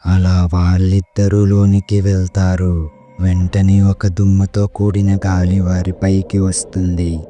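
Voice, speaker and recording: Low guy telling a scary story, really even and slow, music behind him. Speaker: narrator. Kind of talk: scripted horror story narration.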